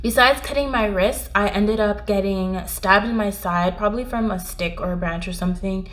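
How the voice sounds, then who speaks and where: A woman's voice: medium pitch, moderate pace. A woman vlogger narrating a storytime in a conversational, reflective way.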